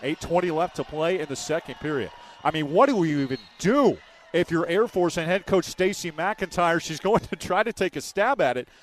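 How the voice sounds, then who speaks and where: A man's voice: on the high side, animated, normal speed, slight background noise. Man calling live basketball play-by-play. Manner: clipped, descriptive phrases. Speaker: radio play-by-play announcer.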